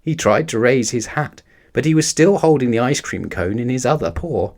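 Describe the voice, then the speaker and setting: Expressive male children's storyteller. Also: mid-range voice, normal speed. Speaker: storyteller reading a children's picture book aloud. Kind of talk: expressive read-aloud narration for young children.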